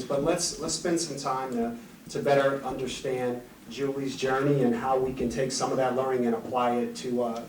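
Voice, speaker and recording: An enthusiastic man moderates a conference Q&A, often stumbling and restarting his sentences. He is heard from a distance in a roomy hall, with a faint hum underneath. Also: medium pitch. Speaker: event moderator.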